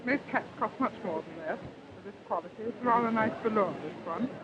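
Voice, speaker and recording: A woman's voice: medium pitch, fairly quick, a loud crowd. A woman, a shopper casually answering an interviewer's questions. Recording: muffled 1950s newsreel, noisy shop floor.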